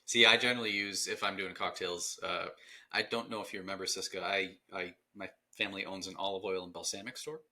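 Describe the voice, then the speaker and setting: A man's voice: low-pitched, fairly even intonation, a little fast, sharp tone. An easygoing man chatting casually as a podcast co-host, readily affirming his guest. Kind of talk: casual chat.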